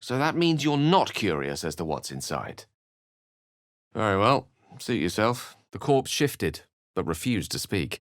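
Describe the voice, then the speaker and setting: An actor playing a courteous, erudite wizard adventurer in a video game, with eloquent, measured, theatrical phrasing and a wry, earnest temper. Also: male voice, fairly low pitch, very animated, no echo, studio quality. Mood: measured, grave. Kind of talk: video game character lines and narration.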